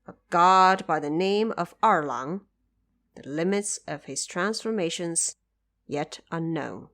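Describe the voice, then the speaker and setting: A woman's voice: fairly low pitch, fairly bright tone. A woman narrator telling a story in a scripted, theatrical and declamatory audio drama style.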